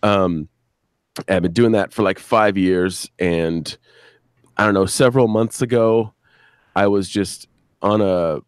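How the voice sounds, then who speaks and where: Very deep-voiced man, casual and rambling. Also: clean tone, no room sound, studio quality. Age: middle-aged. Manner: rambling, halting, crude swearing. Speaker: podcast creator, interview guest. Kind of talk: casual live-stream chat, storytelling. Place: video live stream with a banner behind.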